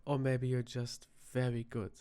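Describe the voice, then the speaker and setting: A performer voicing a flirty, teasing vampire tavern bartender in a soft-spoken ASMR roleplay, with dramatic pauses. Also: medium pitch, flat delivery, smooth, lightly acted. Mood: teasing, intrigued.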